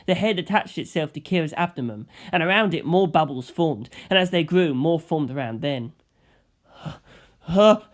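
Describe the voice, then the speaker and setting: Higher-pitched man narrating a story. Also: animated, fairly quick, hardly any pauses. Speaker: audiobook narrator. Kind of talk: scripted first-person story narration.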